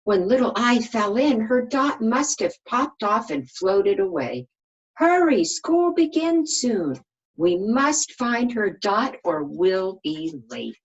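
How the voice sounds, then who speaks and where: Woman voicing a bossy, urgent storybook letter, pitched up. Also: big pitch swings, slow, highs cut off. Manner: exclaiming, emphatic, commanding. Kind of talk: children's storybook read-aloud.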